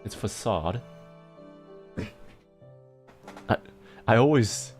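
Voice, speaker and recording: A man livestreaming and chatting casually, explaining things in halting bursts with frequent restarts and laughter, speaking with a mixed accent. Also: lowish voice, lively intonation, darkish tone, soft background music. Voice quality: self-described mixed accent. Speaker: livestreamer answering chat.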